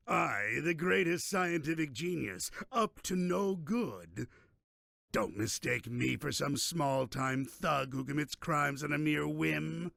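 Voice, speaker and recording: A man's voice: a little high, lively intonation, slow, creaky, a bit bright, no echo, clean studio sound, full character voice. A man playing a pompous, theatrical middle-aged mad-scientist villain in a video game, talking with condescension. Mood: indignant, boastful. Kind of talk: video game cutscene acting.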